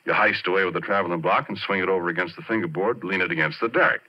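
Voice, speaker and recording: An actor in a 1940s radio drama playing an easygoing, rough-and-ready oil driller who talks in folksy, colloquial style. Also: male voice, deep voice, racing. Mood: confiding narration. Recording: muffled 1940s radio recording.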